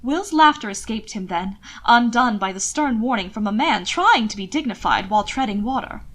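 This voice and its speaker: A woman's voice: a little high, expressive, moderate pace, a bit bright. A woman reading scripted romantic fiction expressively, as an audiobook narrator.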